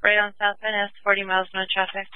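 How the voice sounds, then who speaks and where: Woman dispatcher, clipped read-backs, little pitch movement, dry phone-like radio audio. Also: monotone, fast, smooth, no room sound. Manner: clipped, procedural phrasing. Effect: police dispatch radio.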